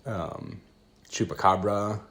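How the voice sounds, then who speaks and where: A man co-hosting a podcast in casual conversation, relaxed and quick to laugh. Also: fairly low pitch. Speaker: podcast co-host.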